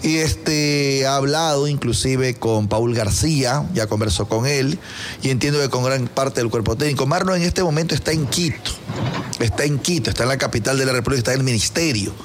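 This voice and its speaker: A man's voice: mid-range voice, fairly quick, slight background noise. A man with an Ecuadorian accent hosting casual banter and informal sports talk in a teasing, colloquial way. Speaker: radio show host.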